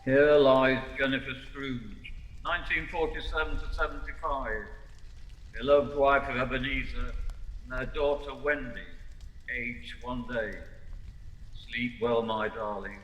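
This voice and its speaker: A man's voice: mid-range voice, steady tone, measured pace, fairly bright tone, highs cut off. A man playing a stern, accusing ghost in an amateur radio play, speaking solemnly through ghostly reverb. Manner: solemn, probing, commanding. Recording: echoey, long reverb.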